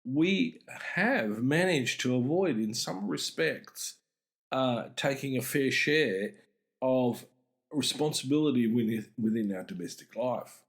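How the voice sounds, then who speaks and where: A middle-aged man hosts an explanatory talk on psychology, speaking deliberately and with emphasis. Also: medium pitch, expressive, gravelly. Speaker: podcast host and mental health speaker.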